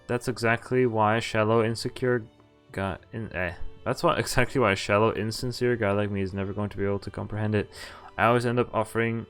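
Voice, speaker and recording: A man's voice: on the low side, steady tone, quick pace, clear, resonant tone. A man streaming a visual novel, reading its text aloud and sometimes stumbling over words and rereading them. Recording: music behind the voice. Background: game music.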